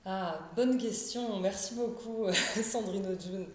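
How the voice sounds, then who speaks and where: A woman's voice: medium pitch, slow, echoey room. A woman from Paris, an art therapist, answering questions in a live interview, shy and hesitant, with many fillers and restarts. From Paris, France. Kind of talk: live-stream interview, casual.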